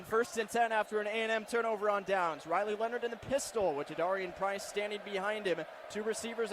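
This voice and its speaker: High-pitched man calling football play-by-play. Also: fairly bright tone. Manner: clipped present-tense narration of action. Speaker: radio football play-by-play announcer. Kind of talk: live play-by-play sports commentary. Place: football stadium broadcast position. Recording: faint stadium background noise.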